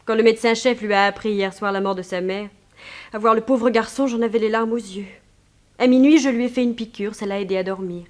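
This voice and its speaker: A woman playing a gentle, solicitous hospital nurse in a 1960s French radio mystery. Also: on the high side, fairly even intonation, brisk, lightly acted. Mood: sympathetic. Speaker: actress in a radio serial.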